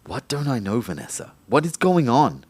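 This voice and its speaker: A man's voice: medium pitch, very animated, normal speed, a little mellow, slightly rough, no room sound. A man playing a confused, suspicious dead young man demanding answers in an audio drama, agitated and questioning. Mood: pleading.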